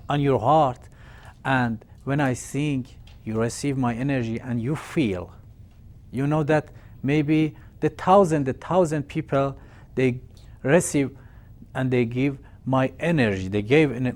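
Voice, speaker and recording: A man from Iran with a Persian accent, a native Persian speaker, speaking slowly and earnestly in simple, broken English as a guest healer addressing viewers. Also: mid-range voice, darkish tone. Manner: slow, earnest, simple phrasing.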